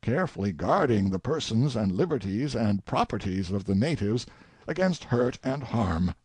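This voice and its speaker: An indignant, sanctimonious king as a male narrator plays him rants in self-pity. The voice is husky and swings widely in pitch with theatrical emphasis. It is an audiobook monologue, recorded very dry. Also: very animated, normal speed, darkish tone, no echo. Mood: aggrieved. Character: indignant, self-pitying, sanctimonious old king ranting in defense.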